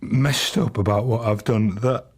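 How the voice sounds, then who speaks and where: An actor playing a guilt-ridden, plain-spoken father in his thirties, husky and halting, in a naturalistic radio drama. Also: lowish voice, steady tone, a little mellow. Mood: guilty, tender. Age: 30s. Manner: halting, confessional.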